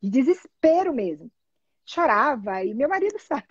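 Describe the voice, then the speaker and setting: A middle-aged Brazilian woman telling personal stories casually, in an animated, emphatic, chatty way over a video call. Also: high voice, normal speed, breathy edge, dry recording. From Salvador, Brazil. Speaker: guest, therapy trainee telling her experience. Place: small room at home, indoors. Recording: dull, lo-fi video call audio.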